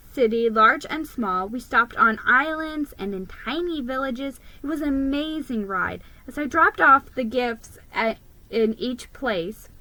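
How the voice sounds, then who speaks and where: A woman reads a children's story aloud with drama and expression. Her voice is bright and a little high, and she goes slowly and deliberately, sometimes tripping on a word. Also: poor audio. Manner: deliberate, expressive, with stumbles over words. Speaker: storybook reader.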